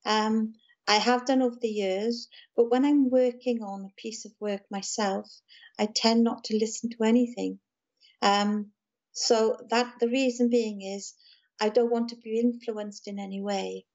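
A woman from South Wales with a South Welsh accent, talking calmly and gently as an interview guest about her music and books. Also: on the high side, measured pace, dull audio. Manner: gentle, calm, chatty with small laughs. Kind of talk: casual interview chat.

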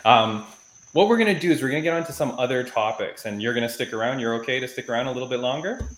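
A man's voice: mid-range voice, lively intonation, fast talker, fairly bright tone, reverberant, rough recording. A man hosting a casual interview in an upbeat way, with frequent laughs. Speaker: podcast host.

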